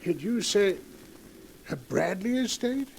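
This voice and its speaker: A man's voice: high-pitched, lively intonation, slow pace, creaky, a little mellow, husky, exaggerated voice. A man playing a folksy, hesitant village cab driver with rustic grammar, in 1940s radio drama style. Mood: uneasy, cautioning. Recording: noisy old 1940s radio recording.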